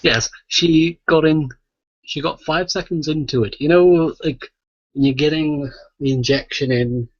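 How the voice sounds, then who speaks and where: A man hosts a casual podcast in a slightly rough, husky voice. He rambles through anecdotes with plenty of swearing and checks in with "right?". The recording is muffled and lo-fi. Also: a little high, unhurried. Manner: sweary, animated storytelling with frequent "right?" tags. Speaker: podcast host. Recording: muffled, echoey voice-chat recording.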